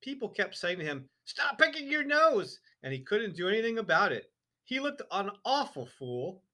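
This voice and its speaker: A man's voice: high-pitched, sing-song, moderate pace, sharp tone, voice pushed up, full character voice. A man voicing a clever little girl who sounds sweetly innocent while teasing. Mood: sly innocent.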